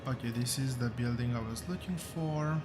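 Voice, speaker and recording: A man with a rough voice, thinking aloud as he hosts a relaxed gameplay commentary, with many fillers and pauses. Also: medium pitch, over music. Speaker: gaming channel host.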